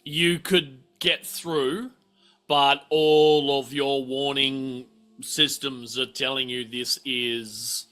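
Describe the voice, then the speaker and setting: A man's voice: medium pitch, level tone, very slow, fairly bright tone, faint music behind. A man running a tabletop game as game master, explaining and thinking aloud as he improvises.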